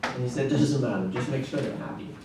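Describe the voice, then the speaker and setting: A middle-aged man from Norway giving a conference talk as a classical guitarist, telling stories in an animated way with frequent restarts and "right?" asides. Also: medium pitch, fairly quick. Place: large echoey conference room. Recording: echoey room, distant mic, faint noise.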